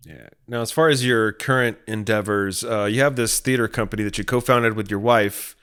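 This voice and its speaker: A man's voice: fairly low pitch, fairly even intonation, moderate pace, a bit bright, clean tone. A man hosting a casual, conversational interview as the interviewer. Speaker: interviewer, podcast host. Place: remote video call.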